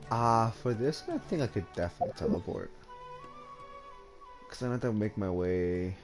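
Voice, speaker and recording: A man's voice: lowish voice, expressive, moderate pace, over music. A man giving casual gameplay commentary as a streamer. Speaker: game streamer.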